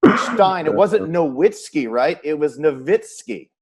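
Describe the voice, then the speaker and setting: A man's voice: fairly high pitch, lively intonation, measured pace, a little hiss or hum. An American man working as a sports show host, asking questions in a conversational interview style.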